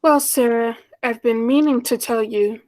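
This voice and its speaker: A woman's voice: a little high, a little slow, a little mellow, dry recording, lightly acted. A woman acting an anxious, romantic schoolteacher in her mid-thirties in a 1940s style radio play, earnest and hesitant. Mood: flustered, anxious.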